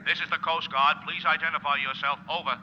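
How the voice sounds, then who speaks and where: A brisk Coast Guard radio man, official and clipped, in old-time radio drama. His voice cuts sharply through a muffled, slightly noisy recording. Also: fairly high pitch, fairly even intonation, pushed and bright. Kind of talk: 1940s radio drama acting. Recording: dull, noisy vintage radio broadcast.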